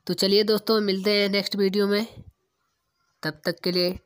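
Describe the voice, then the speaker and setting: Casting: young man, tech tutorial host, casual and instructional, medium-to-high male voice. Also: little pitch movement, normal speed, a bit bright, clean tone. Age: young adult.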